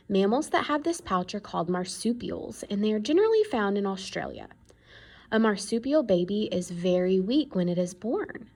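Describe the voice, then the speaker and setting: A woman's voice: medium pitch, gravelly, a little mellow. A woman reading an educational children's book aloud in an explanatory way, stressing key words. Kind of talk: read-aloud educational narration.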